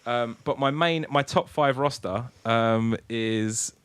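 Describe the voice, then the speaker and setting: A man's voice: mid-range voice, slow, fairly bright tone, smooth, dry recording. A British man co-hosting a podcast in casual, rambling, blokey banter with plenty of swearing. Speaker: podcast co-host. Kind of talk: casual banter, informal chat.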